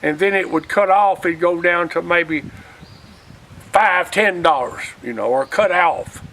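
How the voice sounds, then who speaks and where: Older Alabama man, high bright voice, folksy. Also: unhurried. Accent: Alabama Southern. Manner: folksy, rambling, often quoting past conversations. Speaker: storyteller, retired union organizer. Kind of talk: casual reminiscing.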